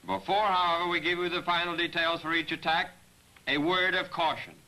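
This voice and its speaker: A man narrating a scripted military training film as a briefing, in a measured, directive manner, on a 1940s film soundtrack. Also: fairly high pitch, fairly even intonation, sharp tone, rough recording. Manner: measured, directive, addressing aircrews. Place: voice-over recording for a 1943 film.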